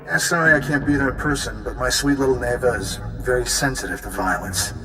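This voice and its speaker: An actor playing a smug, mocking space rogue villain in a video game, glib and sarcastic. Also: male voice, medium pitch, brisk, lightly acted. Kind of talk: video game cutscene acting. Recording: echoey long reverb under music.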